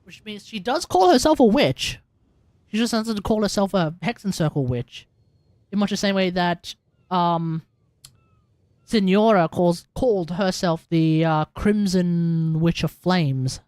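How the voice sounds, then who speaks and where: A woman's voice: lowish voice, a little slow, darkish tone, no echo. A woman hosting a livestream with casual game commentary, thinking aloud and correcting herself as she goes. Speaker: livestream host. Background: game music.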